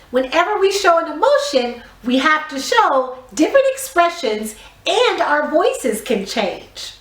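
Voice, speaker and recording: A woman teaching a theatre warm-up to young children, giving encouraging, clearly phrased step-by-step instructions. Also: high-pitched. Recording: roomy echo, distant microphone.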